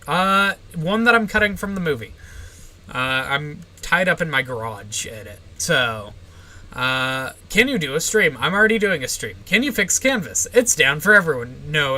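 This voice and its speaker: Bright, deadpan young streamer woman. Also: low-pitched. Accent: general American. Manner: short, deadpan answers with frequent "uh" and "like". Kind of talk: casual livestream Q&A, answering chat.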